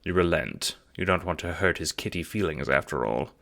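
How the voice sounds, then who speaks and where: A man's voice: deep voice, expressive, normal speed, gravelly, fairly bright tone. A man narrating a scripted story in the second person, in the style of an audio drama or audiobook. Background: music.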